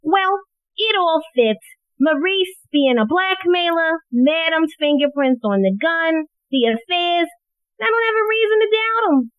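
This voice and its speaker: A woman's voice: extremely high pitch, lively intonation, unhurried, dry recording, phone-quality sound. A woman playing an earnest, inquisitive and frank police assistant in an audio play.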